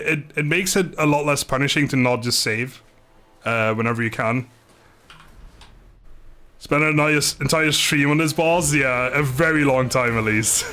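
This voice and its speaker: A man's voice: medium pitch, sharp tone. A man doing casual live game commentary, chatting informally with viewers, joking and swearing mildly. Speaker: game streamer.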